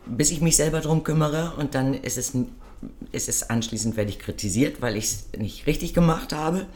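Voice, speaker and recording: A woman chatting casually as a podcast co-host, telling a story in a lively, self-mocking way, her nose stuffed up from a cold. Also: low voice, normal speed. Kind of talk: casual chat.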